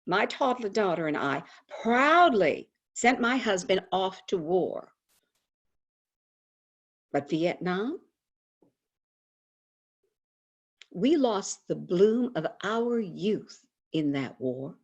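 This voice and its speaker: An actress playing a frank, patriotic woman advice columnist in a theatrical monologue, confiding and dramatic with deliberate pauses. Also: mid-range voice, big pitch swings, highs cut off, exaggerated voice. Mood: indignant, mournful. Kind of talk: theatrical monologue, staged reading. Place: indoors, remote video call. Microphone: video call microphone.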